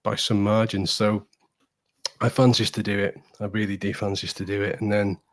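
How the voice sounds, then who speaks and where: Male fan pundit, lowish voice, casual and opinionated, leans hard on repeated phrases. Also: flat delivery, fairly quick. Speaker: football podcast guest, fan pundit. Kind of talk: casual opinionated football chat.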